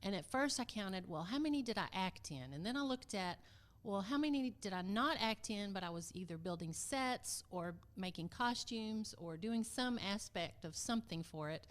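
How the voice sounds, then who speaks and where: Female podcast guest, medium pitch, informal conversational recollections. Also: lively intonation, normal speed. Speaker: longtime community theater member, podcast guest. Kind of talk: casual reminiscing chat.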